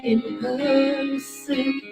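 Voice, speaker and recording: A woman singing a slow romantic karaoke song during a livestream. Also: high voice, flat delivery, vocal fry. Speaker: karaoke singer. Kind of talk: singing karaoke over backing track. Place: home, livestream. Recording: loud backing music.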